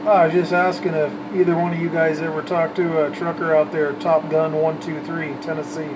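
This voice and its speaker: A man's voice: on the high side, level tone, fairly quick. A man chatting casually and in folksy slang, as an operator talking on CB radio. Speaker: CB radio operator, channel host. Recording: background hum and noise.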